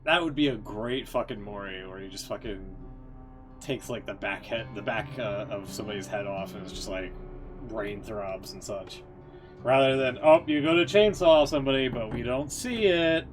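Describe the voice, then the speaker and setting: A man's voice: on the low side, moderate pace, faint music behind. A man streaming live, giving casual, informal reaction commentary on game cutscenes and thinking aloud.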